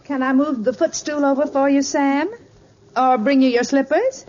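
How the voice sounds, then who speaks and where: A woman's voice: high voice, steady tone, moderate pace, natural voice. An actress playing a fretful, solicitous middle-aged mother and wife with a fluttery manner, in old-time radio comedy style. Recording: dull vintage radio recording.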